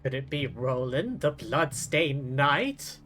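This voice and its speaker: A man's voice: medium pitch, big pitch swings, slow pace, over music. A man casually commenting as he live-streams a video game on a close mic.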